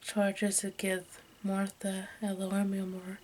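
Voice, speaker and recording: A woman reading aloud in ASMR style in a soft, breathy whisper and hushed speech, halting as she goes. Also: mid-range voice, completely flat delivery. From United States. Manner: halting, stumbles over names and words. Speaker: ASMR video creator. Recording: faint background noise.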